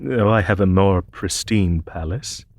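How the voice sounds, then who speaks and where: A man playing an ancient, courteous masked demon emperor in an audio roleplay, telling his tale in a formal, measured, gentle way. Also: sits low, no room sound. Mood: courteous, gently amused. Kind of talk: scripted audio roleplay monologue.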